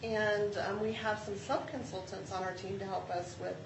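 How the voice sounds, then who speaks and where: A woman in her fifties, a project manager, presenting to a community meeting in a loud, friendly way. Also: mid-range voice, little pitch movement, normal speed, creaky. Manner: loud, friendly, self-deprecating. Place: meeting room. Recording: echoey room, distant mic, background noise.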